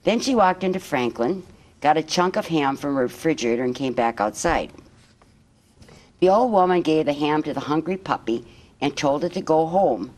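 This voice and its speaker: A woman reading a children's picture book aloud as a guest storyteller, in measured, expressive phrases. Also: deep voice.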